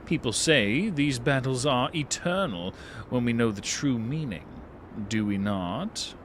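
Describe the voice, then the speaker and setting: A man's voice: medium pitch, expressive, a little slow, fairly bright tone, a little hiss or hum. A man voicing an aloof feline wanderer, musing with philosophical detachment in a storybook monologue. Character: aloof, musing male feline traveler watching mortal affairs.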